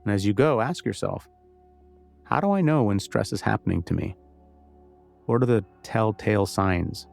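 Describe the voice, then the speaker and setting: Low-pitched, gravelly male meditation guide. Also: animated, moderate pace, pauses often, darkish tone, faint music behind.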